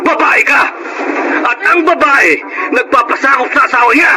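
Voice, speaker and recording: A man playing a domineering, hot-tempered Filipino husband in a radio drama, with a gruff, bullying manner. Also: very high-pitched, normal speed, over music. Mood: furious, threatening. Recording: noisy radio drama recording.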